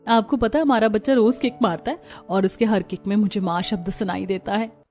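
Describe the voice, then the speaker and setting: A woman's voice: mid-range voice, a little fast, warm tone, close to an everyday voice. A woman voicing a sweet, affectionate pregnant young wife in a cartoon story. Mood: tender, happy.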